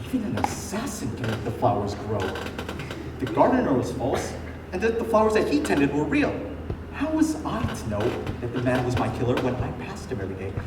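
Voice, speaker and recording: An actor on stage playing an aggrieved, doomed male revolutionary leader with theatrical, declamatory delivery. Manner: declamatory, swinging between outrage and wistful musing. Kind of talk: theatrical comic stage acting. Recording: echoey hall from far off, noisy low-quality audio.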